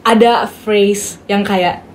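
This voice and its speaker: A woman's voice: medium pitch, steady tone, takes it slowly. A young woman with a Jakarta Indonesian accent, chatting informally as an interview guest and mixing in English words. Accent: Indonesian, Jakarta colloquial. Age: young adult. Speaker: band vocalist, interview guest. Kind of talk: casual interview chat. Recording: faint background noise.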